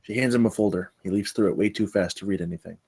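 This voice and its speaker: A man's voice: deep voice, fast, creaky, darkish tone, husky. A man reading stage directions as the narrator of a screenplay table read.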